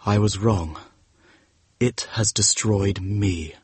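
A man voicing a vain young gentleman in an audiobook story, speaking in a hushed, cold and bitter manner. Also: lowish voice, takes it slowly, slightly rough, no echo, heavily acted. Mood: brooding. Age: young adult. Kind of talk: dramatised audiobook character voice.

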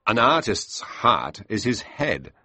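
A man playing a wry, teasing young painter with a low voice that moves a lot in pitch. The sound is dry and close, like a storybook reading. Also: slow pace, no room sound, heavily acted. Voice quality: wry, relaxed. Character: amused young male painter, teasing and easygoing.

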